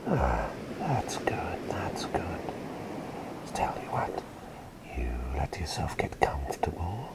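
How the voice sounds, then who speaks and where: A man playing a gentle, attentive plague doctor in an ASMR roleplay, speaking in a breathy, soothing whisper with a polite, slightly hesitant formality. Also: extremely low pitch, heavily acted, poor audio. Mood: softly eager.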